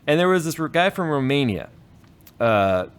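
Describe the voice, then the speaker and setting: A man's voice: on the high side, moderate pace, clean tone. A man hosting a casual stream, telling comic stories with some swearing and acted-out dialogue. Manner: animated, profane, acts out dialogue. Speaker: tech YouTuber, stream host. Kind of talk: casual chat, comic storytelling.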